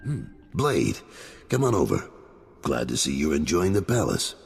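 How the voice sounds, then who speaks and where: A gruff gangster boss with a very deep, gravelly, raspy voice. He talks bluntly, with lively pitch swings, and long reverb trails after him. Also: measured pace, soft background music, exaggerated voice.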